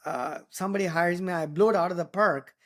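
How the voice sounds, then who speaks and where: A man with an Indian English accent, whose first language is Tamil, speaks in a fairly high voice with a gravelly fry. He delivers an earnest podcast monologue of advice, full of "uh" and restarts, checking in with "right?". The talk stays unscripted and conversational. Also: fairly even intonation, brisk, a bit bright. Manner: earnest, many fillers and restarts, frequent "right?" tags. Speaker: podcast host.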